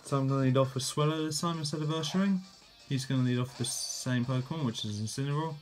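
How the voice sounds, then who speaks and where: A man giving a casual, relaxed play-by-play of his own game battle, correcting himself as he goes. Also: medium pitch, quick pace, soft background music. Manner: relaxed, plain narration with fillers and self-corrections. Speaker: gameplay commentator.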